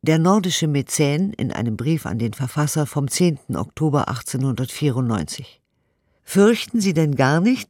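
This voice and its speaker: A man's voice: on the high side, darkish tone, dry recording. A man giving a formal, articulate, declamatory reading of old letters and reviews for a radio play. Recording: studio radio production with music.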